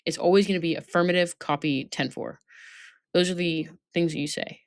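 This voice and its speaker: A woman's voice: lowish voice, steady tone, brisk, no room sound, studio quality. A woman instructor giving an informal training lesson, reading out points and explaining them, often checking that listeners follow. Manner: instructive, checks understanding with tag questions.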